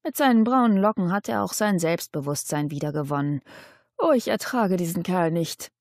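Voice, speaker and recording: A woman's voice: lowish voice, expressive, moderate pace, darkish tone, no room sound, clean studio sound. Woman acting a feisty, scornful young woman. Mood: grumbling scorn. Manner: blunt, scornful. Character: feisty, sharp-tongued young woman, indignant.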